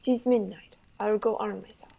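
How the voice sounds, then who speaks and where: A woman's voice: mid-range voice, fairly even intonation, normal speed, vocal fry, warm tone. A young woman acting a vain, horse-besotted young French prince in a Shakespeare radio play, grandiose and declamatory. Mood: impatient, bragging.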